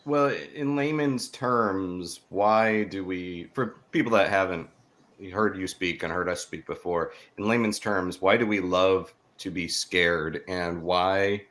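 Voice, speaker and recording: A man's voice: deep voice, takes it slowly, a bit bright. A man hosting a podcast interview, asking questions conversationally and rephrasing them as he goes.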